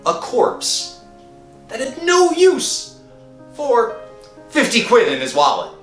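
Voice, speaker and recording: A man playing a frantic, guilt-ridden working-class thief in an old-time radio thriller, agitated and halting as he confesses. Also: extremely high pitch, wildly swooping pitch, a bit bright, pitched above the performer's own voice, full character voice. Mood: guilty, desperate. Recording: roomy echo with music underneath.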